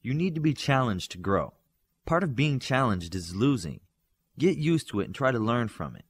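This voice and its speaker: A man voicing a stern male coach of young superheroes in an audiobook, with loud, clipped authority. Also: medium pitch, sing-song, normal speed, slightly rough.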